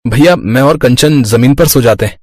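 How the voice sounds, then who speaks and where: A man's voice: medium pitch, moderate pace, dry recording, lightly acted. Man acting a plain-spoken young husband. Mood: earnest.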